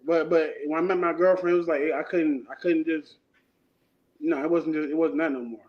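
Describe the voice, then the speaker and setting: Brief: man in his thirties, interview guest, relaxed and slangy, highish voice, muffled lo-fi sound. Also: fairly quick. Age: 30s. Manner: laid-back, rambling, slangy. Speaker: guest artist. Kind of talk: casual interview talk. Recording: dull lo-fi sound.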